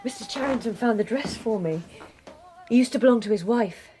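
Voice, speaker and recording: An actress playing a tender, frank lover and rebel in a radio drama. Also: female voice, on the high side, a little fast, dark tone, slight background noise, close to an everyday voice. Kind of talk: British radio drama acting.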